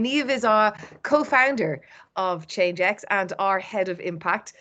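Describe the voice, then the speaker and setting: A woman's voice: medium pitch, fairly bright tone. Irish woman, East Cork accent, webinar host, upbeat, playful, encouraging, video call. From Ireland.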